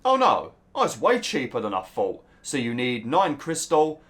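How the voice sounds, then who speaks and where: A young British man with a slightly rough, hoarse throat, chatting casually through gameplay commentary and breaking into mild exclamations. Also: medium pitch, wildly swooping pitch, moderate pace, fairly bright tone. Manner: chatty, swears mildly, bursts into exclamations at the game. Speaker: let's play host.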